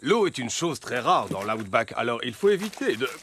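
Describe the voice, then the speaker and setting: A man's voice: mid-range voice, sing-song, normal speed, a bit bright, slight background noise. A man voicing a hearty, upbeat, enthusiastic father kangaroo in a French cartoon dub. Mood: genial, instructive. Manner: enthusiastic, proclaiming.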